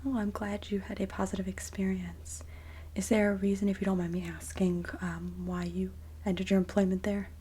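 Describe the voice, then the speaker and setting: A woman speaking softly and breathily, often near a whisper, in a gentle, polite ASMR roleplay as a kindly Mrs. Claus. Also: mid-range voice, level tone, normal speed, slight background noise. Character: kindly Mrs. Claus interviewing a job applicant. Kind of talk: soft-spoken ASMR roleplay interview.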